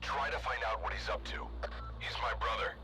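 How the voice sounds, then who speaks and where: Grim tired stalker in a game, voice moves around a lot, noisy recording. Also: male voice, medium pitch, huge pitch swings, fairly quick. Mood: somber, weary. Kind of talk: video game voice acting.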